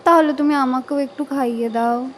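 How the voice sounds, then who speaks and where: A woman's voice: sits high, normal speed, a little hiss or hum, natural voice. A woman voicing a cheerful, confident young woman teasing an older family friend. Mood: playful. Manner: teasing, lively, playful.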